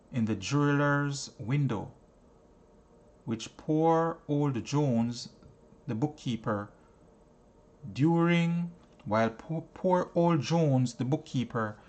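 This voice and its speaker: Slow, deliberate man reading aloud. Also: mid-range voice, a little mellow. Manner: deliberate, stretched phrasing with pauses. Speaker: audiobook reader. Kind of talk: scripted narration, reading a book aloud.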